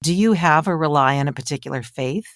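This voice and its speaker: A woman with a deep voice hosts an interview podcast. She talks conversationally to her guest, and the recording is close and dry, without room echo. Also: quick pace.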